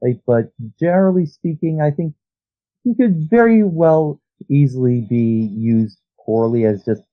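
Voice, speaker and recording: A man's voice: on the high side, animated, unhurried. A man speaking as a guest writer in a casual interview, rambling and analytical with frequent restarts. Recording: dull lo-fi sound.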